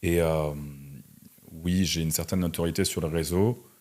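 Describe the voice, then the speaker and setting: A man's voice: deep voice, level tone, moderate pace, a little mellow. A man in his twenties from Russia, answering interview questions in a casual, slangy, conversational way. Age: 20s. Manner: informal, slangy, frequent "tu vois" tags. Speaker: interview guest, entrepreneur and online content creator.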